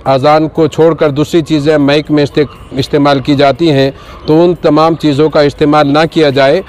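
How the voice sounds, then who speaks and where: A man speaking to reporters outdoors, giving an earnest and steady appeal. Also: on the high side, smooth. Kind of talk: press statement.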